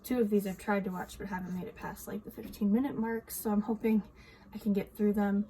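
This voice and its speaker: Female vlogger, mild cold rasp, relaxed soft delivery, hesitant ums, faint room noise. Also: mid-range voice, brisk, rough recording. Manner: soft-spoken, frequent ums and small laughs. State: slightly scratchy from a cold. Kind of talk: casual journal walkthrough. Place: home room with outside noise.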